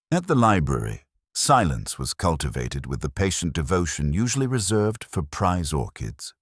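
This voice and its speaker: A man reading a scripted mystery story in a measured, literary way with dry understatement. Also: extremely low pitch, husky, dry recording. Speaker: narrator. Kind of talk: scripted mystery audiobook narration.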